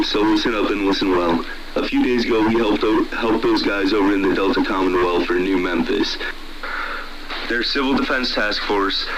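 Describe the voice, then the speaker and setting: A man's voice: deep voice, poor audio. A man playing a blunt, determined military commander who swears as he addresses his troops in an audio horror drama. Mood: grim, firm. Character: gruff, determined military commander rallying troops to rescue a soldier. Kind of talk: radio message acting in audio horror.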